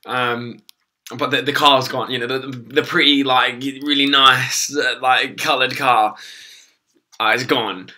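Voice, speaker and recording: A young man, hoarse from losing his voice, rambling casually through a live stream with lots of ums and swearing. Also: mid-range voice, steady tone, takes it slowly, sharp tone. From England.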